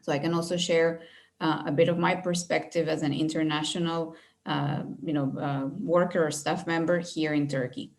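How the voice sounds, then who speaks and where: A middle-aged woman from Venezuela gives an online presentation over a video call, speaking in a fairly low voice. She sounds friendly and measured, with frequent fillers as she talks. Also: flat delivery, darkish tone. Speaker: university recruitment director.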